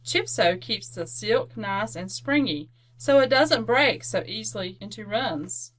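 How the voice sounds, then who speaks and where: A woman playing a helpful aunt who gives crisp, reassuring, instructive advice in an old-time radio commercial. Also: mid-range voice, expressive, unhurried, a bit bright, poor audio. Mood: helpful, cheerful. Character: helpful, reassuring aunt selling laundry soap.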